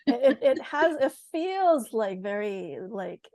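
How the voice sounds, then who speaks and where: A woman's voice: fairly high pitch, very animated, slow pace, a little mellow, no room sound, studio quality. A woman from Taiwan with an American accent tinged by Mandarin, chatting hesitantly on a video call with lots of ums and restarts. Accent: American English with a Mandarin tinge. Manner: hesitant, many ums and self-corrections. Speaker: course participant. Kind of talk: casual group chat on a video call.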